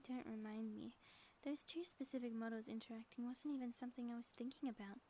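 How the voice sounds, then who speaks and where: A woman playing a flirtatious, affectionate ex-villain wife in an intimate ASMR audio roleplay, teasing and breathy, often dropping toward a whisper. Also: on the high side, steady tone, brisk, warm tone, lightly acted. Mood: fond, teasing. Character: playful, flirtatious ex-villain wife, theatrical yet sappy and affectionate. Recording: faint background noise.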